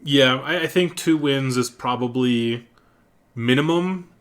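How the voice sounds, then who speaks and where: A man's voice: medium pitch, a little slow, fairly bright tone. A man co-hosting a casual esports podcast, giving blunt, plain-spoken opinions. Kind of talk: casual esports analysis chat.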